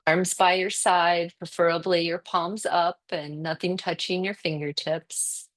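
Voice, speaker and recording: A woman teaching yoga nidra with a very slow, spacious delivery. Her voice sits a little low, and she gives gentle step-by-step cues for breathing and settling the body, leaving room between phrases. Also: little pitch movement. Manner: gentle, unhurried guiding with pauses. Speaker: yoga instructor.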